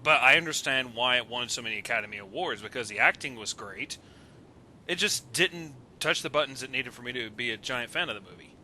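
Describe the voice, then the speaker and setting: A man's voice: medium pitch, fairly quick, background noise. A man on a voice call, casually giving his opinions on films as a guest commentator. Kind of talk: casual opinionated film discussion. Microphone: voice call on a computer.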